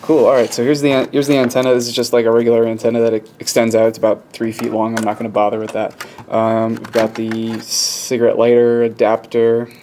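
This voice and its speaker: A man's voice: fairly low pitch, darkish tone. A man giving a casual, unscripted walkthrough in an informal, hesitant way, as if thinking aloud. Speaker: hobbyist video presenter. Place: indoors at a workbench. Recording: light background noise with clicks.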